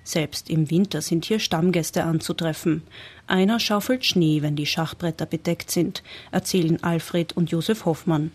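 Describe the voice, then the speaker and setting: Woman narrator for a radio feature, husky and a touch low, measured reads. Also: steady tone. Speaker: radio reporter. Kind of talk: scripted narration.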